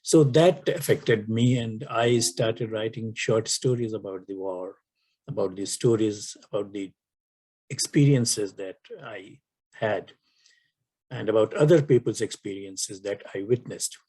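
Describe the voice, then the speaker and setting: Older pakistani guy, urdu's his first language, lowish voice, lots of uh's as he thinks. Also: mellow. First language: Urdu. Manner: halting, with many "uh" fillers. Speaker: author guest. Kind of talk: interview answers.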